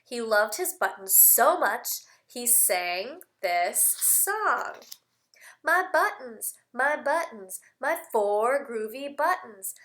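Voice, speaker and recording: A woman teacher doing an animated read-aloud for young children, with exaggerated emphasis, simple explanations, questions and bits of singing. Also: fairly high pitch, takes it slowly. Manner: simple explanatory phrasing with repeated words. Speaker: speech-language teacher reading aloud.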